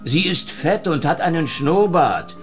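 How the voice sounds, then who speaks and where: An actor playing a wisecracking, flirtatious, world-weary agent who narrates and talks in a sardonic noir radio-drama style. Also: male voice, a little high, lively intonation, measured pace, faint music behind. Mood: feigned sweetness. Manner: sardonic, teasing. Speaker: main character and narrator.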